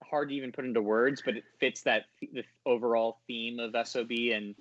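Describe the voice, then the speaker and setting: A man's voice: medium pitch, level tone, fairly quick, a bit bright, no echo. Hesitant man, dry and dull recording. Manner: hesitant, searching for words. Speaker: audio drama voice actor, podcast guest. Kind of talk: casual interview talk.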